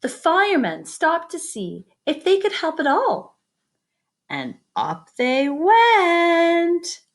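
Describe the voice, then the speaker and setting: A woman reading a children's picture book aloud expressively, with chatty asides to her young listeners. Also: extremely high pitch. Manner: expressive, pauses to comment and invite predictions. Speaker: read-aloud storyteller.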